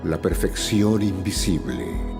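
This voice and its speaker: Slow, expressive man reciting over music. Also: low-pitched, vocal fry. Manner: solemn, measured, dramatic phrasing. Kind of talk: poetry recitation. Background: soft new-age music.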